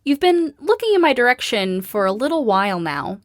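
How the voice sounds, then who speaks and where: A woman's voice: a little high, very animated, fairly quick, dry recording. An actress playing a sarcastic young female teacher in a post-apocalyptic audio drama, casual and dry-witted. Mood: teasing, friendly.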